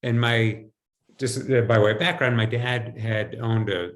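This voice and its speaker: A man's voice: medium pitch, fairly even intonation, a little slow. A man in his fifties with an American accent, telling personal stories conversationally as an interview guest on a video call. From United States, New Jersey. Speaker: podcast guest, financial advisor. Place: home, indoors. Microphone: video call computer mic.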